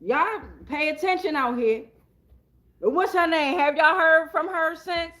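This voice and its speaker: A woman's voice: extremely high pitch, unhurried, fairly bright tone. A woman hosting an improvised tarot reading, talking casually in a blunt, slangy, profane way and repeating phrases for emphasis.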